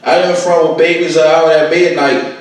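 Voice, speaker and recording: A man's voice: fairly high pitch, level tone, normal speed. Man with Washington DC accent, very echoey. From Washington DC, United States. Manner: plain-spoken, colloquial storytelling. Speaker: guest speaker and poet. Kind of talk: poem recital and personal testimony to an audience. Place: echoing venue at a conference. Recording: very echoey room, distant mic, faint noise.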